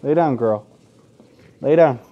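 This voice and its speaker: A man's voice: mid-range voice, slow, lo-fi sound. A man hosting a let's play in an informal, sweary way, mixing casual gaming commentary and asides with game text read aloud.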